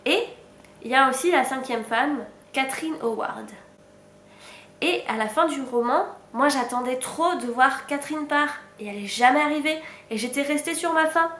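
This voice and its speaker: A woman's voice: high-pitched, normal speed, a bit bright, roomy sound, slight background noise. A young woman with a stuffy cold, chatting frankly about her reading as a book vlogger, with sighs and small laughs. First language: French. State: sick with a stuffy nose. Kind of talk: casual reading update.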